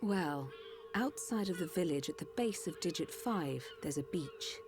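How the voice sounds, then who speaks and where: An actress playing a calm, precise female Machina doctor explaining a treatment. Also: lowish voice, unhurried. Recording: game cutscene with music.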